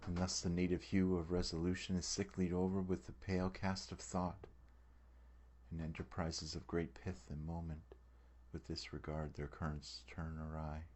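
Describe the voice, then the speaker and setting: Man playing a deep-voiced brooding tragic prince. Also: completely flat delivery, normal speed, a little mellow. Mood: resigned. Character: brooding, world-weary tragic prince weighing death. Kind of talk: dramatic soliloquy reading, Shakespearean verse.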